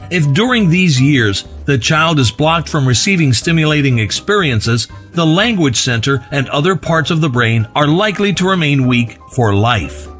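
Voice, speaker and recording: Man narrator, higher voice, animated scripted read, soft music behind. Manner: even, measured explainer read.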